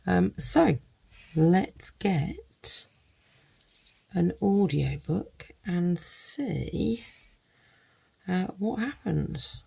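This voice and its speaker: A woman's voice: lowish voice, big pitch swings, drawn-out words, creaky, long pauses, mellow, husky. A woman giving a casual first-look product review, thinking aloud in loose, hesitant phrases.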